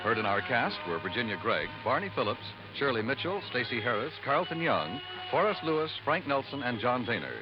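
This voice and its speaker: An American man delivers formal radio announcements in a 1950s broadcast style. His mid-pitched voice has lively intonation, heard on a muffled old recording over clear music. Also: fairly quick. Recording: dull vintage radio recording, music behind.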